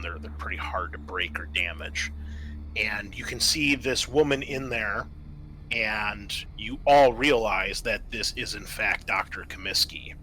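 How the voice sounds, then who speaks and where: A man running a tabletop roleplaying game as game master, describing scenes in a halting, conversational way and often restating himself. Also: on the low side, sharp tone, a little hiss or hum, low-quality recording.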